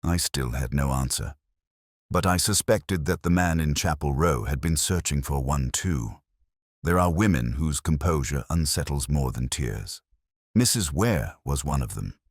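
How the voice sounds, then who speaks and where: A man performs a melancholy, watchful police inspector narrating a detective audio drama. His voice is extremely low, with measured, literary phrasing and a brooding manner. The sound is dry, with hardly any room to it. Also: expressive, husky, no room sound, exaggerated voice. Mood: brooding, reflective. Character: melancholy, observant male police inspector recounting a case.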